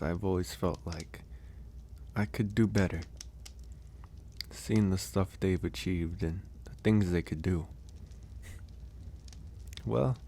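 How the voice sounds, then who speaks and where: A man playing a gentle, reflective werewolf caretaker in an intimate ASMR roleplay, soft-spoken and thoughtful with hesitant pauses. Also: low voice, slight background noise.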